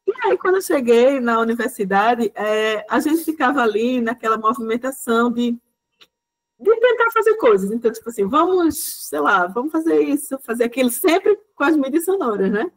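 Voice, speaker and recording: A woman's voice: a little high, sing-song, moderate pace, rough recording. A woman giving an informal, chatty talk to a class as a guest lecturer, often using small conversational fillers. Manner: chatty, loose, leans on fillers like "né".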